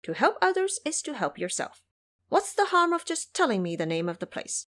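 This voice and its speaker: Woman acting a cocky monkey disciple, high-pitched, playful, brisk, swooping intonation, audio drama. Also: big pitch swings, fairly bright tone. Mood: teasing. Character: cheeky, confident male monkey disciple.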